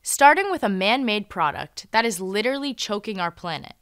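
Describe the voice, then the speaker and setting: A woman's voice: mid-range voice, big pitch swings, fairly quick, fairly bright tone, no echo. A teenage girl reporting in a news studio, reading scripted interview questions.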